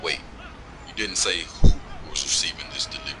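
A man's voice: fairly quick, crowd noise, noisy background, natural voice. A man playing a smug, corrupt lawman in an audio drama, taunting and profane as he coolly threatens his informant. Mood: mocking, hard. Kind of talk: scripted audio drama dialogue.

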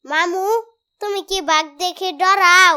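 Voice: very high voice, fairly even intonation, slow, bright, forceful tone, exaggerated voice. A performer voicing a cheeky, nosy little boy in a comedy cartoon, with a colloquial Bangladeshi accent and a pert, argumentative manner. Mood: curious. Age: child character. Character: cheeky young nephew, small nosy boy.

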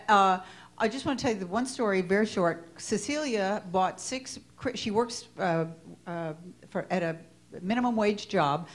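Hesitant woman asking from the floor. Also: mid-range voice. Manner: hesitant, many fillers and restarts. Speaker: audience member asking a question. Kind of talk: telling a story from the floor.

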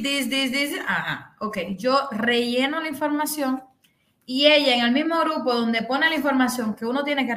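Middle-aged Cuban host, bright sharp voice, vivid chatty storytelling over a video call. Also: female voice, sits high, reverberant. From Cuba. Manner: animated storytelling, acting out the other people in the story. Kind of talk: casual chat on a video call. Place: bedroom, indoors.

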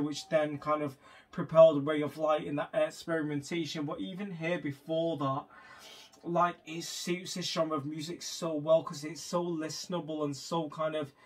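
A man in his thirties giving casual, chatty commentary as host of a music reaction channel, recorded indoors on a camera a little way off. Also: fairly high pitch. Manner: chatty, informal. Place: small room at home, indoors. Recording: distant camera microphone.

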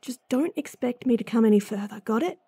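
A woman voicing a gruff, impatient female bounty hunter in a fantasy audio drama, blunt and sardonic, sighing often. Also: fairly high pitch, fairly quick, dark tone, no room sound. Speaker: voice actress.

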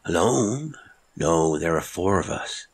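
A man's voice: sits low, lively intonation, slow, exaggerated voice. A man voicing a small, surly man marooned for years, speaking in terse, old-fashioned phrases.

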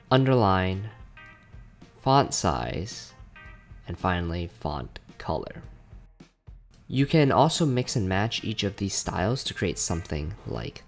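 A man with a fairly low voice narrates a scripted software tutorial. He explains things in a casual, plain-spoken way. Faint guitar music plays behind him. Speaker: software developer presenting a tutorial. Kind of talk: scripted explanatory narration over screen recording. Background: background music with guitar.

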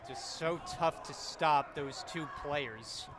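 A man calling a college basketball game play-by-play, getting louder and excited on big plays. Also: fairly high pitch, slow pace. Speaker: play-by-play announcer. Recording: crowd and court noise behind the commentary.

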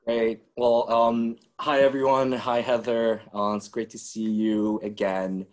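A man's voice: medium pitch, flat delivery, a little slow, a little mellow. A man in his twenties from the Czech Republic, speaking as a webinar guest in a loose, casual way with many "you know"s and restarts, heard over a video call. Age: 20s.

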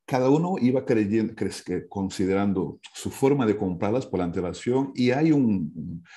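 Low, mellow Brazilian man speaking Spanish as second language. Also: expressive, normal speed. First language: Portuguese. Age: middle-aged. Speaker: speaker sharing an idea. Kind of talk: informal talk, storytelling. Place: indoor room, video call. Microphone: wired earphone headset.

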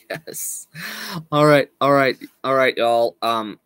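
A man in his thirties chatting casually with viewers on a live stream from a small room at home, slowly losing his voice and speaking in halting restarts. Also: medium pitch, fairly bright tone, smooth, no room sound. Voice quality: slow, halting, with frequent restarts and laughs. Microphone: webcam at desk.

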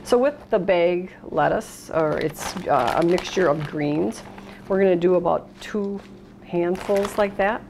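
A woman's voice: on the low side, measured pace, vocal fry, a little mellow. A middle-aged American woman hosting a casual cooking demonstration, talking unhurriedly through each step as she works. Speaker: cooking show host. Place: indoor kitchen, steel worktop. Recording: clattering dishes, noisy kitchen room sound.

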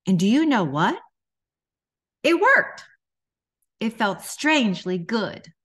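A middle-aged woman reads aloud for young children. Her delivery is slow and deliberate, and her pitch moves in lively swings. It has the expressive shape of a storybook session. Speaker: children's author reading aloud.

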